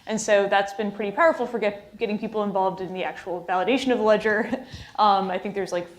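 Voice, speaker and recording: A woman's voice: mid-range voice, fairly even intonation. Casual woman panelist in an echoey hall. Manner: casual, brisk, with light laughs. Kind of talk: conference panel answer. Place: conference hall.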